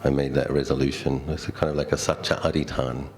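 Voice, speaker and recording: Casting: middle-aged Australian male, extremely low register, Buddhist teacher sharing personal anecdotes conversationally and reflectively, light background noise. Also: little pitch movement, fast, darkish tone. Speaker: Buddhist monk giving a talk. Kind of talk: dhamma talk with personal storytelling.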